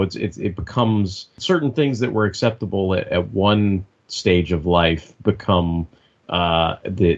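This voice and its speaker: A man co-hosting a casual podcast chat, rambling with many restarts and the odd swear. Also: fairly low pitch. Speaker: podcast co-host. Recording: dull lo-fi audio.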